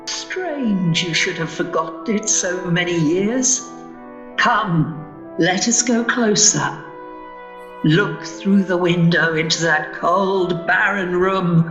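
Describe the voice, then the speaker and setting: Woman acting a slow, solemn guiding spirit. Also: fairly low pitch, fairly bright tone, echoey room, lo-fi sound, soft background music. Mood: solemn, probing. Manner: stately, deliberate, probing. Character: solemn, commanding female spirit guiding a mortal. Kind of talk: radio play acting.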